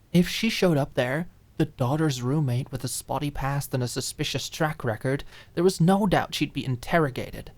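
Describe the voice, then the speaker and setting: A woman reading a dramatic audiobook, expressive and deliberate, lingering on key phrases. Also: low voice. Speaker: audiobook narrator. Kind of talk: dramatic scripted narration.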